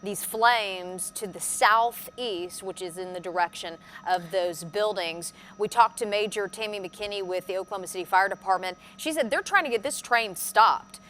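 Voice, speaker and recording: A woman's voice: medium pitch, fairly bright tone, slight background noise. A woman working as a news anchor, giving live commentary on breaking news.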